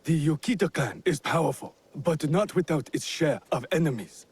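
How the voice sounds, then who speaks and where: An actor playing an anxious, deferential Japanese lord in a video game, with a breathy, husky voice and formal, stammering speech. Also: male voice, a little high, normal speed, darkish tone, a little hiss or hum, natural voice. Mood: worried. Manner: stammering, formal, eager to please. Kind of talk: video game cutscene acting.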